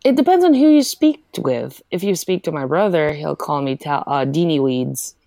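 A woman's voice: low-pitched, big pitch swings, moderate pace, creaky, warm tone, no room sound. A woman from the Philippines, a guest in a casual interview, who laughs often and says "like" a lot. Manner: laughs often, leans heavily on "like".

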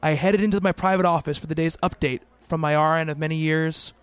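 A man playing an earnest psychiatrist who narrates his own story in a radio play, in a measured, gentle, literary manner. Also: on the high side, fairly even intonation, clean tone, no echo, lightly acted. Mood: measured, reflective.

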